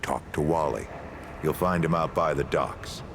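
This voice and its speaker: An actor playing an old, folksy, world-weary leader and storyteller in a video game, with a gravelly voice and unhurried delivery. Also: male voice, rumbling low, background noise. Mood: businesslike. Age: older. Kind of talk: video game character dialogue.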